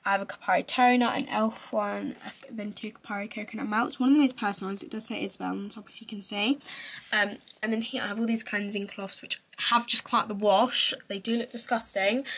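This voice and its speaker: A young woman talks in a loose, rambling way, full of ums and restarts. Her voice has a stuffy, congested edge from a cold. Clear background noise sits under the recording. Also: medium pitch, a bit bright. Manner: chatty, rambling, many fillers and self-corrections. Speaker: beauty vlogger. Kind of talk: casual show-and-tell.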